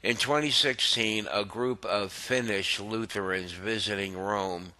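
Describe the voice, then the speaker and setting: A man with a low, bright and forceful voice reads a written article aloud. His delivery is deliberate and measured, taking its time through each sentence as a commentary host would. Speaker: host of a religious commentary channel.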